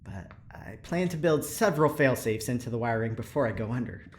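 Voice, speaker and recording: A man's voice: mid-range voice, expressive, brisk, close to an everyday voice. An earnest young male engineer, played by a man in an audio drama. His mid-range voice moves up and down a lot as he rambles, hesitates and slips into nervous laughter. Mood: chatty, upbeat. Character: eager, earnest young male prison engineer and test volunteer. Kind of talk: audio drama acting, naturalistic.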